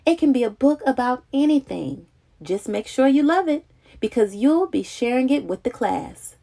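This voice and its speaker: A woman reading a children's picture book aloud in an expressive, dramatic, childlike storytelling manner. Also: sits high, normal speed, creaky.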